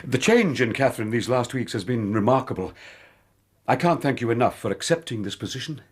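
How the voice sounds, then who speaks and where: A courteous older English gentleman. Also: medium pitch, lively intonation, fairly quick, slightly rough. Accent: British. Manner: polite, gentle, earnest. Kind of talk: radio drama acting.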